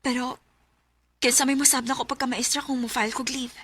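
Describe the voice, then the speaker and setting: A woman's voice: high-pitched, flat delivery, brisk, fairly bright tone, husky. A woman acting a grieving young schoolteacher, tender and hesitant, in a Filipino radio drama. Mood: subdued.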